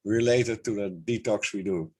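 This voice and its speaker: A man with an irritated throat that makes his voice sound deeper than usual. He explains things in a steady, conversational way and checks in with his partner. He talks over a video call. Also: brisk, husky. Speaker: co-host, healer. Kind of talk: casual chat.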